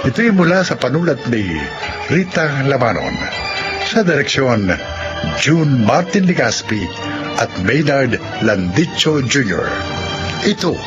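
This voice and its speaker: Male Filipino narrator for radio drama, solemn and measured, lively pitch swings, muffled broadcast sound. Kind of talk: scripted narration and credit reading. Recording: muffled broadcast under loud music.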